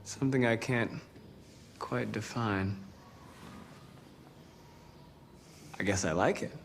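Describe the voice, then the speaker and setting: Game role, male android caretaker: young, lowish voice, polite, unsure, some background noise. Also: moderate pace, vocal fry. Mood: thoughtful. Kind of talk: naturalistic video game acting.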